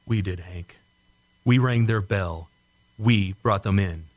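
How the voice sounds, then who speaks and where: A man acting in an old-time radio drama as a shaken, insistent rocket test pilot, speaking urgently and haltingly. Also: fairly low pitch, no echo. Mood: uneasy, defensive.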